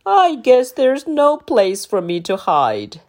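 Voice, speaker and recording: Storybook dinosaur, gentle giant, old, calm and kindly, read by a woman. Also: on the high side, slow, voice pushed up, full character voice. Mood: friendly. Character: gentle friendly male dinosaur, huge and old.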